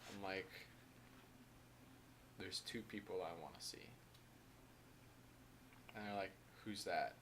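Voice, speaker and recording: A young man co-hosting a casual podcast, telling an earnest, halting story full of filler words. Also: sits low, fairly bright tone, slight background noise, poor audio. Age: young adult. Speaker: podcast co-host. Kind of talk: casual chat among friends.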